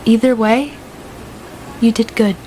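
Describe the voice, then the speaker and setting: A woman's voice: a little high, steady tone, slow, warm tone, slight background noise, rough recording. A woman playing a playful, teasing young debater who is fond of her rival, in a radio drama.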